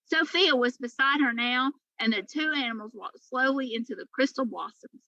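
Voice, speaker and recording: A woman's voice: high-pitched, normal speed, bright, forceful tone, dry recording, dull audio. A woman reading a children's story aloud as a teacher would for pupils. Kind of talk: storybook read-aloud narration.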